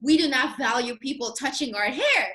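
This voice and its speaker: A youngish woman speaks in a fairly high voice. She chats casually and openly, like a guest swapping experiences with a friend. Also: animated, normal speed, pushed and bright. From Curacao, in the Caribbean. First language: not Dutch, a language of Curacao. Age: 20s-30s. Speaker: guest sharing career experiences. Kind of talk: casual conversational discussion.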